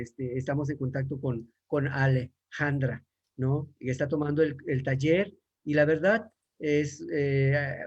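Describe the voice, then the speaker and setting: A man's voice: on the high side, a little mellow. A man from Mexico, a university professor, answering questions in an online talk with frequent fillers and restarts. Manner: hesitant, many fillers and restarts. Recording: dull lo-fi sound.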